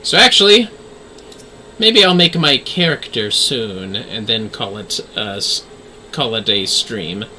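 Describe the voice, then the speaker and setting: A male game streamer chatting casually to viewers. His voice is very bright and forward, with lively pitch movement, over a faint background noise. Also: slow. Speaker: livestreamer.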